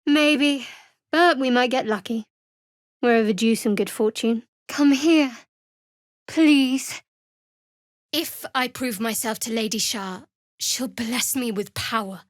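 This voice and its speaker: A woman playing a guarded, devout young cleric of a dark goddess in a fantasy video game, speaking in a measured, dry, slightly wry way. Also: a little high, no room sound. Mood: guarded, determined, then devout, solemn. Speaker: video game character voice actor. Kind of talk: scripted fantasy game dialogue.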